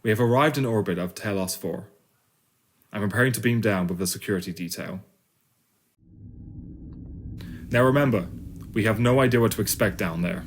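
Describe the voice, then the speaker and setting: A man playing a cautious, authoritative starship captain in a sci-fi audio drama, speaking in a measured, commanding way. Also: on the low side, close to an everyday voice. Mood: measured, wary. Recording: music under the dialogue.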